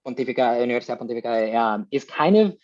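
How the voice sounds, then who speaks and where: A young man from Colombia talks through his research on a video call, with frequent ums and restarts. His voice is mid-pitched. The audio is dull and lo-fi. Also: animated, fast talker, a little mellow. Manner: explains at length, restarts, many ums. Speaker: presenting Fulbright scholar. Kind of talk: casual academic Q&A.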